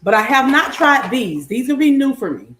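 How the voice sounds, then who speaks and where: A middle-aged woman chatting casually as she cooks, her voice slightly raspy as it recovers. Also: sits high, sing-song, normal speed, echoey room, noisy background. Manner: chatty, warm greetings and blessings to viewers. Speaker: health coach and vlogger. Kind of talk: casual cooking vlog chat. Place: home kitchen.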